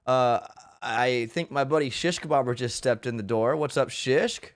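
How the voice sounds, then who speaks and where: A man's voice: medium pitch, moderate pace. A middle-aged man with a head cold and a stuffy nose, hosting a casual live stream in an upbeat, rambling way with lots of fillers and restarts. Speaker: streamer host.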